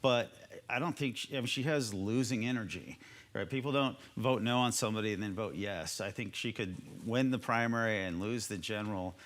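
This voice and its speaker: An American man giving conversational political commentary as a panelist, explaining things in an informal, measured way. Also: lowish voice, a bit bright.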